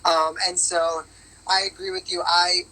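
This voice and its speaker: A woman singer with a raspy voice from resting it, talking in a casual, upbeat way with lots of fillers as an interview guest. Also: lowish voice, level tone, measured pace, bright, forceful tone, low-quality recording. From New York. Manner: upbeat, rambling with many fillers. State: strained voice on vocal rest. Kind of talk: casual interview on a live call. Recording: bad connection on a live stream.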